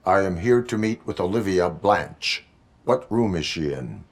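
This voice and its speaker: An actor playing a stiff, dutiful cyborg police officer in a video game, speaking in a flat, formal, measured way. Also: male voice, low-pitched.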